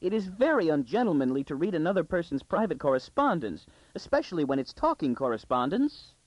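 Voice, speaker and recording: A man's voice: medium pitch, wildly swooping pitch, normal speed, no room sound, muffled recording, voice pushed up. A man voicing a precocious, self-assured young girl in a storybook, prim, bossy and easily indignant. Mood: anguished.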